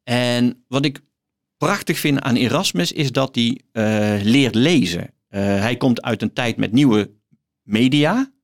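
A middle-aged man, a guest professor explaining things in an interview, thoughtfully and emphatically, halting between phrases. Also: mid-range voice, expressive. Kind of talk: explanatory interview talk with some reading aloud.

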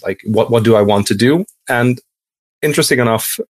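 A man in his thirties from Hungary, a podcast guest telling his story casually and haltingly, often restarting sentences. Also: medium pitch, breathy edge, no room sound.

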